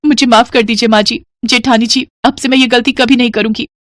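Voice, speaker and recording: A woman voicing an eager, naive young daughter-in-law in an animated story, with a higher, chirpier voice. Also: a little fast, no echo, lightly acted. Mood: apologetic.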